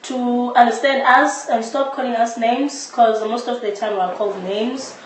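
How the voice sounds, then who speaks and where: Higher-voiced South African woman, echoey. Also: steady tone, moderate pace. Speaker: interviewee, audio drama maker. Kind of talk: live interview, explanatory talk. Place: echoey indoor space, live-streamed discussion. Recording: echoey room, distant mic, faint noise.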